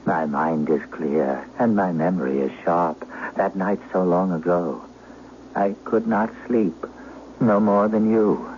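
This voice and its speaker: An actor playing a frail, dying old shepherd, speaking in a weary, solemn rustic manner in an old radio drama. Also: male voice, deep voice, slow, darkish tone. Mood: confessing, failing. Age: elderly. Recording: dull vintage radio, faint hiss.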